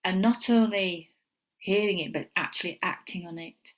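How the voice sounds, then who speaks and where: A woman's voice: fairly low pitch, normal speed, fairly bright tone, rough recording. A middle-aged woman with a Yorkshire accent, giving a casual tarot reading at home and wandering into chatty asides. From England. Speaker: tarot reader.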